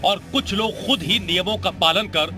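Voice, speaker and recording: A man with a high voice narrates a news report. His delivery is scripted, in the manner of a TV reporter, and music plays clearly underneath. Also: moderate pace, pushed and bright.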